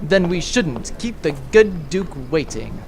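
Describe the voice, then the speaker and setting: A man's voice: on the high side, sing-song, unhurried, vocal fry, a little hiss or hum, exaggerated voice. A man voicing a vain, swaggering one-eyed pirate major in a comedy audio drama, speaking with theatrical bluster. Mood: smug.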